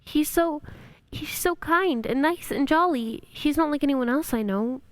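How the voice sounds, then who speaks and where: A woman's voice: sits high, a little slow, no echo. A female performer playing an earnest, kind-hearted young girl in an amateur radio play. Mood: earnest, worried.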